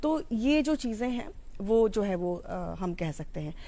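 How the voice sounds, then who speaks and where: Mellow-voiced Indian woman explaining. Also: medium pitch, very animated, unhurried. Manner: explanatory, code-mixing Hindi with English terms. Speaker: psychology educator. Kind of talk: informal explanatory talk on mental health.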